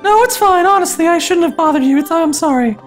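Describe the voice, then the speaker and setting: A man voicing a timid, flustered young woman in a breathy falsetto, stammering through a dramatic story reading. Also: expressive, fairly quick, soft background music. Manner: nervous, stammering, apologetic. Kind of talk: dramatic fan fiction reading.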